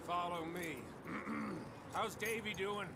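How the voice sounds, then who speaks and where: Slow-drawling, sly outlaw gunslinger. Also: male voice, sits high, steady tone, gravelly, heavy background noise, heavily acted. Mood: sly, nonchalant. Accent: American. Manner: drawling, unhurried. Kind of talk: dramatic western video game acting.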